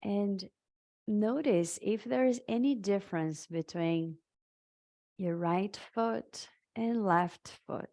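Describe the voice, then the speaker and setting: Very slow, creaky-voiced woman guiding meditation. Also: mid-range voice, dark tone. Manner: soothing, unhurried, halting with long pauses. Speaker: meditation guide. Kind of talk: live guided meditation.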